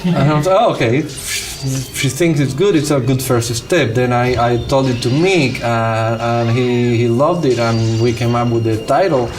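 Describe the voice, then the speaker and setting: A man's voice: medium pitch, unhurried, few pauses, noisy background. A man from Cuba with a Cuban accent and Spanish as his first language, a film director talking casually and animatedly in an interview.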